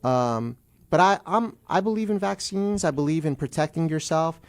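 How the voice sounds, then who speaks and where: A man's voice: a little high, expressive, moderate pace. A man being interviewed live on radio as a guest attorney, speaking carefully and qualifying his opinions.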